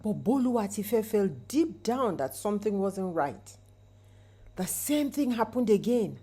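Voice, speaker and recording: A woman's voice: mid-range voice, very animated, unhurried, warm tone, breathy edge. A woman narrating a scripted folktale in a dramatic, suspenseful storytelling manner with rhetorical asides.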